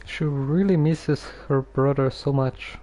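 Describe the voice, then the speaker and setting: Slow, mellow man streaming a game. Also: medium pitch, fairly even intonation, poor audio. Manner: halting, musing aloud. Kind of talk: casual game commentary.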